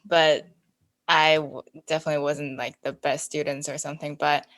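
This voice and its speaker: Low-voiced young woman chatting casually. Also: level tone, normal speed, a bit bright. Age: 20s. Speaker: podcast guest. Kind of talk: casual chat.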